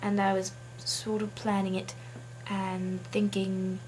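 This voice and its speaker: A woman video blogger talks casually to the camera at a slow pace. She is halting and practises a put-on accent, with faint noise behind her. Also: mid-range voice, monotone, darkish tone, rough recording.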